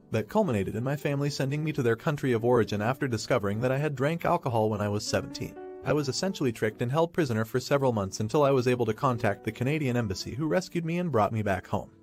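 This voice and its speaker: Fast-reading male story narrator. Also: mid-range voice. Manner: steady fast read-aloud narration. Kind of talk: reading Reddit stories aloud.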